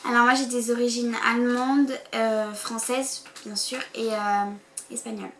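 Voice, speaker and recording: A woman's voice: a little high, little pitch movement, normal speed, a bit bright. A teenage girl from France co-hosting a casual chat, talking with many fillers. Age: teens. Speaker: co-host. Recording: echoey room with faint noise.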